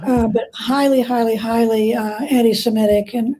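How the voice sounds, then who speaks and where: A woman speaking as a guest expert, explaining history conversationally and often pausing to search for words. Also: fairly high pitch, fairly even intonation, warm tone. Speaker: guest expert, biographer and scholar.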